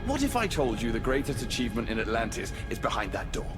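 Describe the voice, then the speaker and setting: An actor playing a scheming, menacing immortal male being in a video game cutscene, speaking slowly and temptingly. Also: medium pitch, very animated, natural voice. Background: game score music.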